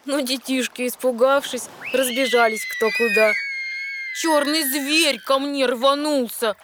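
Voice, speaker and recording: A woman's voice: high voice, steady tone, unhurried, very noisy. A woman playing a young mermaid princess, reciting lyrical verse in an audio fairy tale. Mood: wondering. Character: young mermaid princess sisters recounting sea wonders. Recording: music under the voice.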